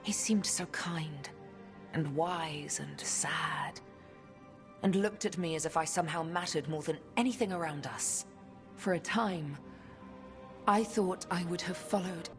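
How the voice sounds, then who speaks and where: An actress playing a solemn, wistful elf woman with a measured, reflective delivery in a fantasy game. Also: on the low side, faint music behind. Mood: heartbroken, wistful. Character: solemn, wistful female elf inquisitor, once betrayed in love. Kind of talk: dramatic fantasy game voice acting.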